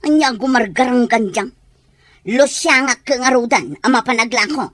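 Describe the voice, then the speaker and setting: A woman with an Ilocano accent playing an exasperated, sharp-tongued working wife who scolds and complains in a radio drama. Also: on the high side, normal speed, dry recording, studio quality. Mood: scolding, indignant.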